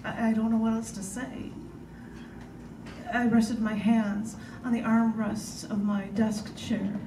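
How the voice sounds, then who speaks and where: A woman's voice: on the high side, little pitch movement, slow. Woman reading aloud in an echoey, noisy room. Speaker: writer reading own prose and poems. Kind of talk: live literary reading of prose and poetry. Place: large indoor bookstore, live reading. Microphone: camera mic at a distance. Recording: echoey, noisy bookstore, distant camera mic.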